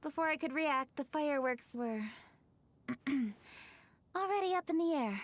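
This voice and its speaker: A woman playing a composed, dutiful young female official in a video game, speaking politely in measured, formal phrases. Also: high-pitched, lively intonation. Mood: sheepish, apologetic. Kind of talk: video game cutscene acting. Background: music.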